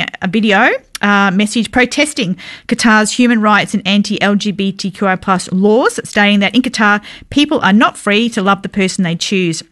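A woman's voice: mid-range voice, fairly bright tone. A woman hosting a community radio sports show, chatty and upbeat with fillers as she wraps up and reads news. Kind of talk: casual radio wrap-up and news read.

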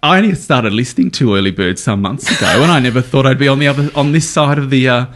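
A man's voice: mid-range voice, a bit bright. A man from rural New South Wales co-hosting a radio show, chatting casually and often stammering as he thinks. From northwest New South Wales, Australia. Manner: halting, stammers when thinking. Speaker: radio co-host. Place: radio studio.

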